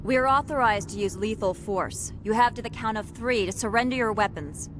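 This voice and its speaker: A woman's voice: a little high, normal speed, a bit bright, lightly acted. A woman playing a stern, rule-bound security captain in a video game, curt and official. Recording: clear ambient noise behind.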